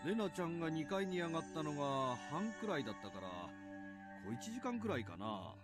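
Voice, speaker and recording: A man's voice: on the high side, very animated, rapid-fire, over loud music. An actor playing a cheerful middle-aged father in a Japanese visual novel, upbeat and teasing. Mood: playfully teasing.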